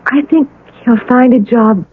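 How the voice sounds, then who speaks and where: An actress playing a calm, stubborn eighteen-year-old daughter, composed and matter-of-fact, in a 1970s radio drama. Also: female voice, fairly high pitch, a little slow, slightly rough, narrow, tinny audio. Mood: quietly defiant. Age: young adult. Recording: noisy, band-limited old radio recording.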